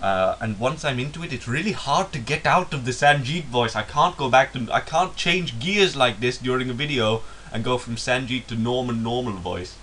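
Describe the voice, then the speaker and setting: A man's voice: mid-range voice, expressive, moderate pace, few pauses, a bit bright, slight background noise. A young English man talking in a put-on Indian English accent, rambling and self-mocking in a casual vlog monologue. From England. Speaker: YouTuber.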